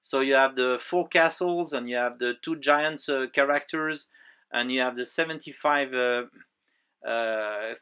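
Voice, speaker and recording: Bright, clear French-accented man. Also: medium pitch. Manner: halting, many fillers and restarts. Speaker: toy collector vlogger. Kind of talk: voice-over narration of a museum visit. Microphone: voice-over, close mic.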